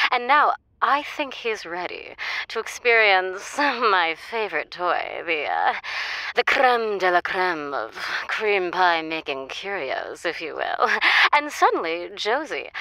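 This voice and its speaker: A woman's voice: lowish voice, sharp tone, dry recording, exaggerated voice, poor audio. A woman playing an adventurous, flirty, giggly caller on a comedy radio drama, with an effusive, theatrical, playful manner. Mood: playful, coy. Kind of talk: comedic audio drama phone-in.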